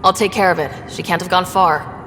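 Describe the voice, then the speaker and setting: A woman's voice: on the low side, fairly even intonation, fairly quick, a bit bright, roomy sound, big-room sound, a little hiss or hum, close to an everyday voice. An actress playing a resolute, direct female space explorer in a video game. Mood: matter-of-fact. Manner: direct, firm, matter-of-fact.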